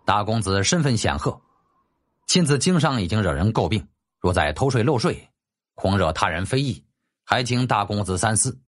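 A man's voice: lowish voice, very animated, normal speed. A man voicing a stern, curt magistrate in a formal, commanding manner for an audiobook. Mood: formal, restrained. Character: stern, curt male magistrate, feared harsh official.

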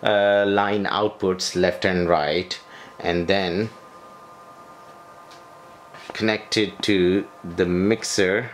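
A man presenting a hands-on equipment tutorial, speaking off the cuff in halting, broken phrases with frequent hesitations. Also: low voice, fairly even intonation. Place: small bedroom, indoors. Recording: home video with noticeable background noise.

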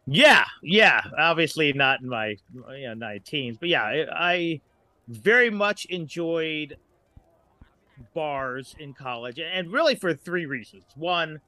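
A man's voice: fairly high pitch, expressive, slow, pushed and bright, no echo. An American man in his late forties chatting casually as a podcast guest, in a rambling storyteller's way. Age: late 40s. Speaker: guest, writer.

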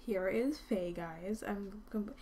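A woman's voice: mid-range voice, slow pace, warm tone, a little hiss or hum. Chatty woman rambling over gameplay. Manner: chatty, rambling with many ums, often drops to a whisper. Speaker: gaming channel host. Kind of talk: casual let's-play commentary.

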